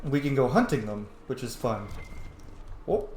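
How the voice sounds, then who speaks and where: A man giving casual, sweary, playful commentary as he plays a video game, excitable in his delivery. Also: mid-range voice, unhurried, soft background music. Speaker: gamer, let's play host. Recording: roomy echo, faint background noise.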